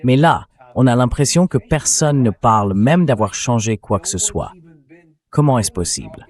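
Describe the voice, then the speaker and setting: A man's voice: mid-range voice, sing-song, moderate pace, a little mellow. A man's synthetic dubbing voice, acting as host and putting questions in a political interview. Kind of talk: political interview, dubbed translation read by synthetic voice.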